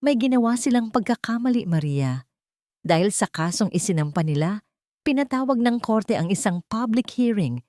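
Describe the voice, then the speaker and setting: A woman's voice: on the high side, expressive, moderate pace, dark tone, no echo, clean studio sound, voice pushed up, close to an everyday voice. A woman voicing a serious, determined male lawyer in a dramatic story, earnest and urgent.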